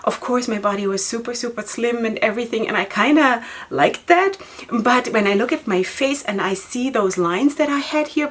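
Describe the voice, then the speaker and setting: A woman's voice: fairly high pitch, fairly bright tone. A woman in her thirties, a native German speaker, chatting to camera in a beauty vlog, reflective and laughing often. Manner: chatty, self-reflective, laughs often, repeats words for emphasis. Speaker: beauty vlogger. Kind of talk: casual talk to camera. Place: decorated home studio with flowers and chandelier. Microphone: camera framed at head and shoulders.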